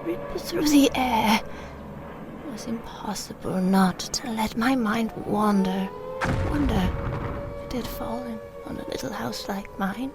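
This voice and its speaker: Frail, dying old woman, played by an actress, reflective and hushed, unhurried pace, gravelly edge, for intimate radio play. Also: fairly high pitch, music in the background. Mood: hushed, reminiscing. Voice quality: breathy, whispery, creaky. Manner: slow, halting, reflective storytelling. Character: frail elderly dying mother recalling wartime childhood. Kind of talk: intimate audio drama monologue.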